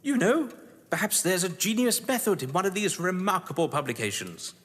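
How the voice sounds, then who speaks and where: An actor playing an older, upper-class British crime novelist, scheming and sardonic, in florid, pompous stage-drama style. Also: male voice, high-pitched, very animated, brisk, a bit bright, slightly rough, heavily acted. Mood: suave, scheming. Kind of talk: stage-play audio drama acting. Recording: echoey hall reverb.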